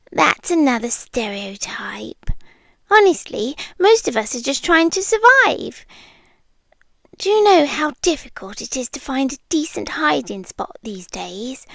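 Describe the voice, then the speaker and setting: A British woman playing a shy, smitten under-the-bed creature in an intimate ASMR roleplay, soft-spoken and often whispery, awkward and deadpan. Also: sits high, no room sound, heavily acted. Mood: dry, weary. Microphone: close dry microphone.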